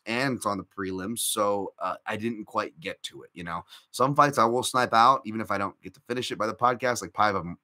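A man's voice: fairly low pitch, sharp tone. A man hosting a casual live-stream podcast, rambling through betting picks with lots of fillers and restarts while chatting with viewers. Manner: chatty, reacts to viewer comments. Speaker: podcast host.

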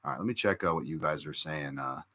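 A man's voice: extremely low pitch, quick pace, a bit bright. A man hosting a casual live-stream podcast, rambling through betting picks with lots of fillers and restarts while chatting with viewers. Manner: chatty, reacts to viewer comments. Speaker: podcast host.

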